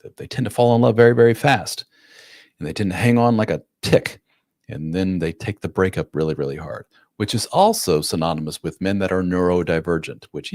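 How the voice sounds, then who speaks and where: A man nearing fifty talks through viewer questions as a relationship coach, loose and conversational. His voice sits very low, and the sound is close and dry, with almost no room around it. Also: darkish tone, no room sound. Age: 40s. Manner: casual, plain-spoken, acts out quotes in a raised voice. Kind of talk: live-stream Q&A.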